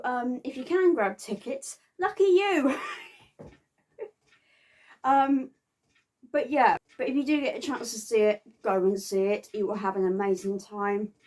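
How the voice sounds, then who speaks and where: A woman speaks in a high voice at a slow, unhurried pace. She chats informally to her viewers like a vlogger, with a halting delivery that now and then stumbles over a word. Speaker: vlogger reviewing a theatre visit. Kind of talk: casual unscripted chat to viewers.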